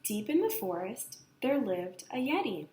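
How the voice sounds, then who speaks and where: A woman reading a children's picture book aloud with expressive, dramatic emphasis. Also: fairly high pitch, measured pace. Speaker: storybook reader. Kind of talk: animated children's read-aloud narration. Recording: echoey room, slight background noise.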